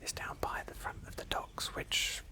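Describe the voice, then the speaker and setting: A woman narrating a roleplay game playthrough in a breathy ASMR whisper, slowly and with pauses.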